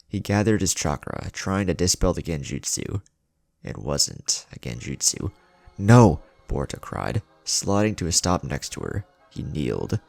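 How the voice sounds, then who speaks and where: A man's voice: sits low, vocal fry, no echo. A man hosting a story channel, reading scripted fan fiction in a dramatic narrator's delivery.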